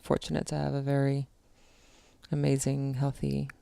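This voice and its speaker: Woman, low pitch, casual podcast chat, teasing interjections, dry sound. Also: completely flat delivery, normal speed, vocal fry, mellow, dry recording. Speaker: podcast co-host.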